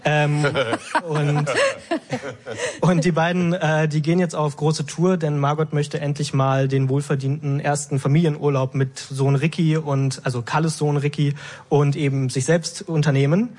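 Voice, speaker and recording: A man's voice: on the high side, flat delivery, moderate pace, darkish tone. Amused man explaining a sitcom plot. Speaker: guest, sitcom maker. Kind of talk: casual interview talk.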